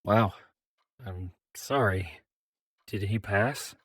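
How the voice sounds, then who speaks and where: A man voicing a practical, considerate metal man adventurer in a fantasy audio drama, measured and polite. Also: lowish voice, fairly bright tone, husky, no echo, full character voice. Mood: sympathetic.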